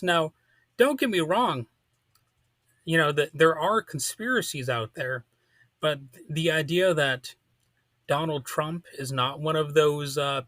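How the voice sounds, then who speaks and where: Male political commentator, bright forceful voice, slightly high, close dry sound, deliberate emphatic phrasing. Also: dry recording. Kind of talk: political commentary monologue, part scripted.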